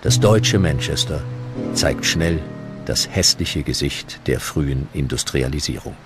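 Very deep-voiced documentary narrator, male. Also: measured pace, over music.

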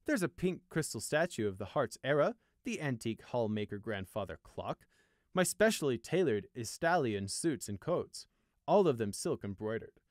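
A man's voice: medium pitch, big pitch swings, normal speed, no echo, studio quality, lightly acted. A man voicing a wealthy, boastful male unicorn noble from a story, with an airy, self-satisfied way of drawing out his words.

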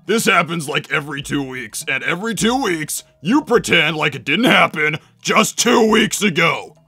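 Man acting a gravelly, grumpy echidna guardian. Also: fairly high pitch, expressive, moderate pace, bright, forceful tone, dry recording, faint music behind, full character voice. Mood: irritated. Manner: gruff, weary, irritable. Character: gruff, grumpy young male echidna guardian. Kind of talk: fan-made animated series voice acting.

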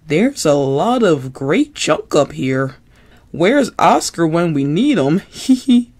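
A storybook reader voicing a cheerful, giggly puppet boy who talks excitably. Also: medium pitch, slow pace, exaggerated voice. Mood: excited. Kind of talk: storybook read-aloud.

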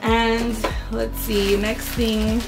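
A young woman talks straight to camera from a bright bedroom, her voice hoarse and raspy from a sore throat, with plenty of gravelly fry. She chats loosely in a filler-heavy haul-video manner over a clear music bed. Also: fairly high pitch, level tone, very slow, rough recording. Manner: chatty, many "um" and "like" fillers. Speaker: beauty vlogger.

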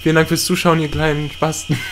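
A young man co-hosting a gaming video, bantering casually with excitable yelling and laughter. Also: fairly high pitch, fast, very noisy. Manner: excitable, yelling and laughing, silly English asides. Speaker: gaming channel co-host. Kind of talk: casual let's-play banter. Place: small room at home, seen on webcam.

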